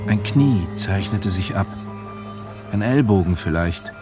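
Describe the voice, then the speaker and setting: Haunted literary narration in a war radio play. The voice is deep and very unhurried, sombre, with loud music behind it. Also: male voice, expressive. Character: sombre, haunted narrator of grim wartime sights.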